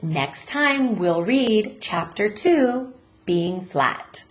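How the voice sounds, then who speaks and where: A woman teacher reading a children's story aloud in an expressive, deliberate manner. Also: mid-range voice, a little hiss or hum, low-quality recording.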